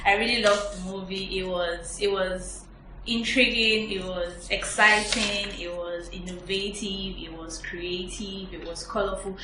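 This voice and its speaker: A woman guest chats casually on a review podcast in a medium-pitched voice. She sounds a little far from the mic, with clear room echo around her. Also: fairly even intonation, unhurried. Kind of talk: casual film review discussion. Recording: echoey, distant mic, background noise.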